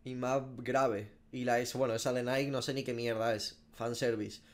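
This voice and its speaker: A man's voice: medium pitch, flat delivery, moderate pace. A man in his twenties with a Spanish accent from Spain, giving slangy, sweary reaction commentary as a gaming streamer. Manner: informal, slangy and sweary, thinking aloud. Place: small home room.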